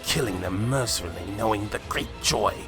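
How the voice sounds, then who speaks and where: A man's voice: on the low side, expressive, creaky. A man playing a sinister, gloating villain who mocks and menaces in a fan-made anime drama, with music behind. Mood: cruel taunting. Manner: mocking, slow, menacing, chuckling. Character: gloating sinister villain possessing a father, cruelly taunting. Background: loud music.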